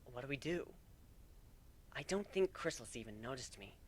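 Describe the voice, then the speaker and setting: Man as a hushed, sly changeling pony wife. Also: mid-range voice, expressive, moderate pace, a little hiss or hum, natural voice. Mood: uncertain, gentle. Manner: hushed, murmuring, teasing. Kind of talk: dramatic fan fiction reading.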